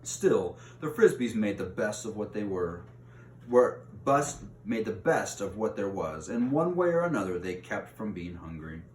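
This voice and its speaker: A man's voice: fairly low pitch, very animated, unhurried, darkish tone. A man reading a children's novel aloud in an informal way, sometimes stumbling and rereading a phrase. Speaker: read-aloud host. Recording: roomy echo, distant mic, faint noise.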